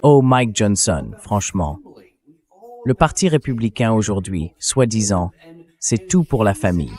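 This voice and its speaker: A man's voice: on the low side, big pitch swings, measured pace, darkish tone. A man's AI dubbing voice delivering blunt, indignant, sarcastic political commentary. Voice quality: synthetic AI dubbing voice.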